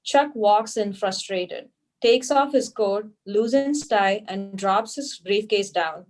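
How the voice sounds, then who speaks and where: A woman's voice: medium pitch, takes it slowly, a little mellow, faint music behind. A woman narrating stage directions at an amateur table read in a neutral, plain manner. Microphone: video call.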